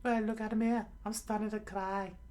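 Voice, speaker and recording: A woman's voice: mid-range voice, flat delivery, normal speed, warm tone, voice pushed up, natural voice. A woman voicing a hot-tempered, greedy young female thief who scolds indignantly in an adventure story. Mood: mock helpless.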